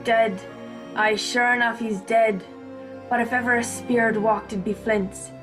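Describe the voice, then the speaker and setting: A woman acts a superstitious pirate deckhand in a radio play. The voice is fairly high and talks in rustic sea-dog dialect, with clear music behind. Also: steady tone, unhurried, close to an everyday voice. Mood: uneasy. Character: superstitious male pirate crewman, fearful of ghosts.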